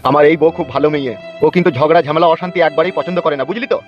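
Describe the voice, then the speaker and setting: Casting: male actor as wheedling, coarse cartoon husband, very fast delivery, muffled audio with music. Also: on the high side, steady tone, natural voice. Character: bickering, sweet-talking two-timing husband, foul-mouthed. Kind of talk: comic cartoon dialogue. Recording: muffled narrow-band audio over music.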